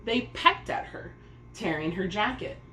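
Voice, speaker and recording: A woman narrates a children's story expressively. Her pitch is animated and her pace is on the slow side. The recording has clear room echo and faint noise. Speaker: storybook reader.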